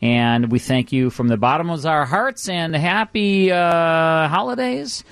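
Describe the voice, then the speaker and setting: American guy hosting radio, chatty style, slightly high voice, dry studio mic. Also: unhurried, a bit bright, smooth, dry recording. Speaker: radio host.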